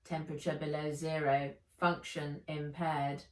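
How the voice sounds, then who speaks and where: A woman's voice: low-pitched, flat delivery, measured pace, darkish tone. A woman voicing a tiny, freezing robot companion in a storybook, slurred, faint and drowsy. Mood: drowsy, failing. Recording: roomy, echoey, distant microphone.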